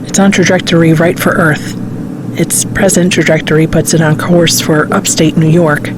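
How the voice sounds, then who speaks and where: A woman playing a grave, weary scientist delivering dire news in an audio drama, somber and deliberate. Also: sits low, fairly even intonation, close to an everyday voice. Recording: noisy car ambience.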